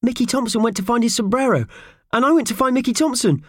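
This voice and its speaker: A man voicing a small, excitable, anxious young male friend in a children's audiobook, eager and fretful. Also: extremely high pitch, a little fast, no echo, studio quality, voice pushed up. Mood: anxious, apologetic.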